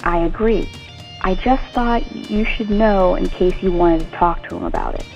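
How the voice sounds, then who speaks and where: An actress playing a gossipy hospital nurse in an audio soap opera, confiding and tentative in manner. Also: female voice, mid-range voice, normal speed, vocal fry, a little mellow, faint music behind, lightly acted, rough recording. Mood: confiding, wry.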